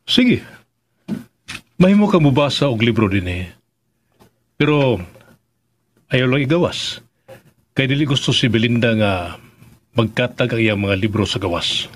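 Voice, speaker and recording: An actor playing a gentle, grieving widowed master of the house in a Filipino radio drama, halting and sentimental, with a Visayan accent. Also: male voice, mid-range voice, expressive, slight background noise, close to an everyday voice. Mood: calm, courteous. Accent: Visayan Philippine.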